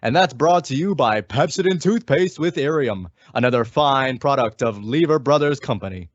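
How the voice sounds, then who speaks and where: A man's voice: mid-range voice, lively intonation, normal speed, dry recording. A man as a 1940s-style radio announcer, reading scripted copy with emphatic, polished sales delivery. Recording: dull lo-fi audio.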